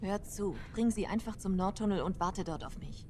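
A woman's voice: medium pitch, moderate pace, dark tone, a little hiss or hum, close to an everyday voice. An actress playing a hard-nosed female smuggler in a video game, curt and unyielding. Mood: businesslike, stubborn. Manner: curt, tough. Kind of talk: dramatic video game acting, German dub.

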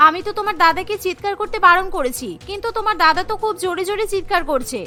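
A woman's voice: very high-pitched, lively intonation, racing, sharp tone, faint music behind, heavily acted. A woman voicing a cheerfully defiant, food-obsessed young new bride in a cartoon. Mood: pleading, stubborn. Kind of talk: Bengali cartoon voice acting.

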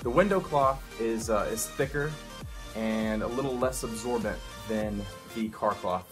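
A man in his thirties giving a casual, upbeat product demonstration in his home garage, talking straight to viewers. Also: on the low side. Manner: upbeat, instructional, addresses viewers directly. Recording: background music under voice. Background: background music.

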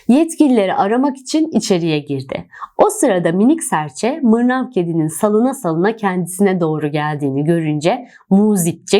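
A woman reads a scripted fairy tale for children. Her voice is a little high and highly animated in pitch. She tells it expressively, as a storyteller would.

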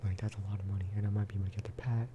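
Hushed, low-voiced man doing soft-spoken ASMR. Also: little pitch movement, very fast, noisy background. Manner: hushed, slipping into whispers, casual and rambling. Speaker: gaming video host.

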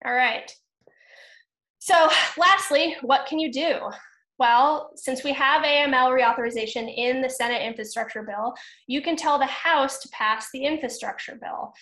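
A woman in her thirties giving a webinar presentation over a video call, speaking in a measured, earnest way with frequent ums and uhs. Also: high voice, fairly bright tone. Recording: echoey video-call audio.

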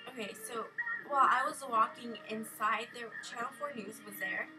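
A young American woman telling a rambling, casual vlog story in an expressive way, acting out exchanges as she goes. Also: a little high, moderate pace, over music, low-quality recording. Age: young adult. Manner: animated, expressive, acts out exchanges. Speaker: vlogger. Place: bedroom at home. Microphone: built-in camera or webcam mic at arm's length.

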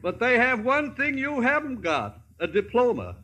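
An actor playing a flustered but kindly older humbug wizard, blustering grandly in 1950s radio drama style. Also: male voice, very high-pitched, wildly swooping pitch, moderate pace, pushed and bright, slight background noise, full character voice. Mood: pompous, kindly.

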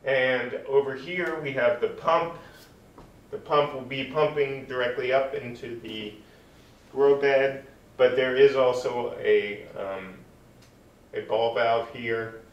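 Man, DIY host, unhurried step-by-step explainer; distant, roomy home-video sound. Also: mid-range voice, fairly even intonation, dull audio. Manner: unhurried, explains step by step while working with his hands. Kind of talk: casual how-to demonstration. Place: apartment room and tiled bathroom, indoors.

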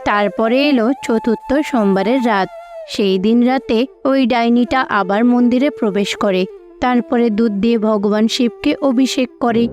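A woman's voice: a little high, measured pace, darkish tone. A woman reads scripted narration for a cartoon fairy tale. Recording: slightly dull audio with music behind.

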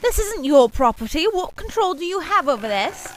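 A woman's voice: very high voice, big pitch swings, moderate pace. A woman voicing a greedy, bossy female pirate captain who talks in a dismissive, commanding way. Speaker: puppet show character. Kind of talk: amateur puppet show voice acting.

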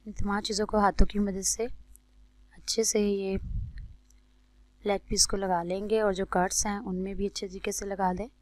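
A woman with a Pakistani accent narrates a recipe tutorial as a cooking host, in a measured, instructional way. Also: mid-range voice, flat delivery, darkish tone, no room sound.

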